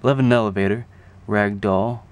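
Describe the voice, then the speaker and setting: Low, flat-voiced man in casual show-and-tell. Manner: halting, thinking aloud as he goes. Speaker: video creator showing a music collection. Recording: clear background noise, amateur camera audio.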